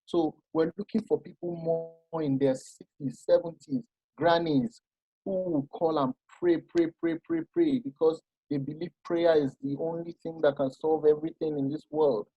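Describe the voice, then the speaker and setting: A man giving an informal masterclass talk, animated and explanatory, often restarting his sentences. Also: a little high, normal speed, vocal fry, husky, dry recording, dull audio. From Nigeria. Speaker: masterclass speaker.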